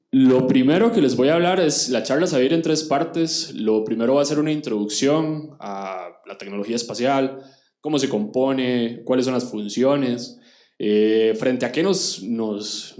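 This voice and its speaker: A man with a Costa Rican accent delivers a technical conference talk, explaining step by step and speaking straight to the people in front of him. His voice sits at an ordinary middle pitch, and the sound carries a noticeable roomy echo. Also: darkish tone. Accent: Costa Rican Spanish. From Costa Rica. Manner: explanatory, addressing the audience directly.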